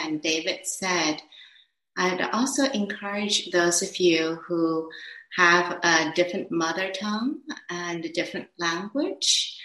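Woman, first language Chinese, meditation teacher; unhurried, gentle delivery, lowish voice, echoey room. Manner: slow, gentle, hesitant with many fillers. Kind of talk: dharma class talk.

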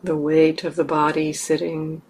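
A woman meditation teacher leading a guided meditation gently and haltingly, in short phrases with long pauses. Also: low-pitched, completely flat delivery. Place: meditation center hall. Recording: clear background noise, room ambience.

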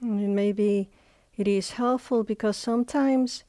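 A woman leading a guided meditation as a teacher, speaking in short phrases with long, unhurried pauses. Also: mid-range voice, fairly even intonation, dark tone, no room sound.

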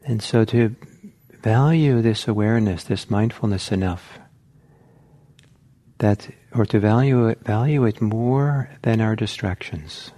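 A man leads a guided meditation as a teacher. His voice is low and mellow. He speaks gently and reflectively, halting often and leaving long pauses, at a very slow pace.

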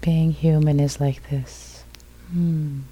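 A woman meditation teacher with a soft, husky, breathy voice, guiding a meditation in sparse, unhurried, soothing phrases. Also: deep voice, flat delivery, slight background noise, rough recording. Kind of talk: guided meditation.

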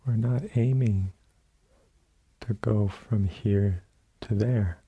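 A man leading a guided meditation as a teacher, speaking gently in sparse phrases with long pauses. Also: lowish voice, fairly even intonation, slightly rough. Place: meditation center hall.

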